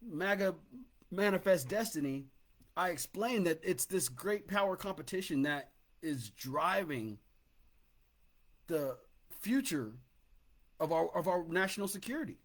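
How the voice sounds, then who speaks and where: A middle-aged American man giving a personal political talk as a commentator, speaking emphatically and haltingly, often repeating words. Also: sits high, animated.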